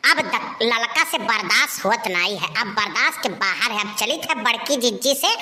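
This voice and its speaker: A woman's voice: a little high, animated, normal speed, pushed and bright. A woman voicing a scandalized, tattling village busybody in a comedy cartoon, grumbling indignantly with an Awadhi accent. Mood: indignant, scheming.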